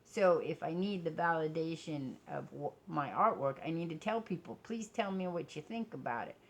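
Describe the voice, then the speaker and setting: A woman talking casually to viewers in a personal vlog, blunt and self-deprecating, halting as she thinks aloud. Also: low-pitched, animated. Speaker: vlogger.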